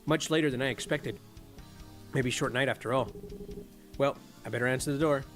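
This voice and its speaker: A man's voice: mid-range voice, a little fast, a bit bright, faint music behind. A man streaming a horror game, trash-talking the characters with profane jokes and dropping Spanish slang into his English. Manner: joking, profane trash talk with the game's characters. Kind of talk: casual gameplay commentary.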